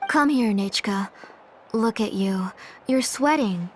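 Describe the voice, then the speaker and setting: A woman voicing a caring, gently fussing mother in a video game, attentive in manner. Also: mid-range voice, measured pace, a little hiss or hum, natural voice. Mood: fondly fussing.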